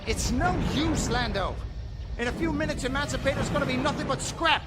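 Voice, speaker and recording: A man's voice: extremely high pitch, expressive, brisk, heavy background noise. A man playing a tense rebel starship officer under fire in an audio drama. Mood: alarmed. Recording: dramatic music and battle effects behind.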